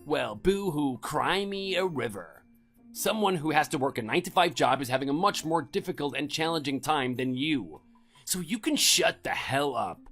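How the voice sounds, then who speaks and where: Guy acting as a mean bossy middle aged husband in an audio drama, sneering and scolding, very up and down, soft music under. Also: on the high side. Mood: scornful, angry. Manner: contemptuous, scolding, sarcastic. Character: domineering, scornful middle-aged husband belittling his wife. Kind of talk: scripted audio drama dialogue.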